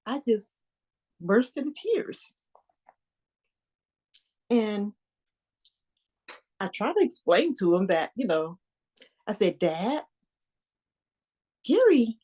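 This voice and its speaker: A woman's voice: on the high side, sing-song, drawn-out words, long pauses, mellow, heavily acted. An actress performing a stage monologue as an anxious, newly engaged young woman, talking in a rambling, hesitant, confiding way. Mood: troubled.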